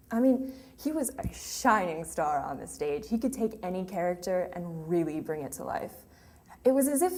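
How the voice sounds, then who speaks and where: A woman's voice: medium pitch, a little mellow, lightly acted. An actress playing a grieving young woman remembering her lost sweetheart in a hesitant, emotional, confessional monologue. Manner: hesitant, emotional, with trailing phrases. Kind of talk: dramatic monologue, confessional and conversational. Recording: clearly noisy room ambience.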